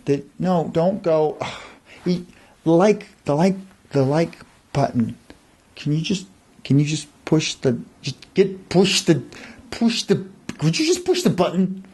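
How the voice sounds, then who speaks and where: A man hosting a channel, pleading comically with viewers in a halting, repetitive, insistent way. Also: fairly high pitch, very animated, a little mellow, husky. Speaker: channel host.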